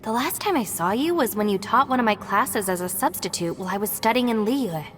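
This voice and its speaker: A woman voicing a calm, practical young deputy in a video game, composed and matter-of-fact, with long reverb. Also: a little high, fairly quick, natural voice. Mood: polite, friendly. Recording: long reverb, music underneath.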